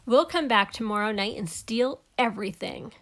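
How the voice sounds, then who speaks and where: A woman's voice: on the high side, moderate pace, fairly bright tone. Woman playing a sly storybook burglar. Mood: scheming. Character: scheming male burglars, storybook villains.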